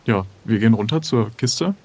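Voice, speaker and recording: A man's voice: on the low side, lively intonation, normal speed, a little mellow. A man playing an improvised audio role-play game, thinking aloud as he announces his actions. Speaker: player in an adventure game.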